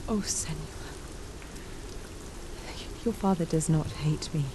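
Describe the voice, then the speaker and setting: An actress playing a gentle, devoted healer mother in a video game, speaking softly and earnestly in a breathy half-whisper. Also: deep voice, a little fast, very noisy, natural voice. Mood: tender, sorrowful resolve.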